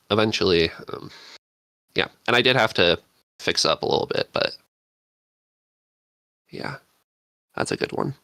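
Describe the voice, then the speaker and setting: A man with a fairly low but bright, forward voice tells stories casually as a podcast guest. He moves through his thoughts in halting, reflective phrases, and the sound is close and dry. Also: no echo. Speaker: panel guest, script writer.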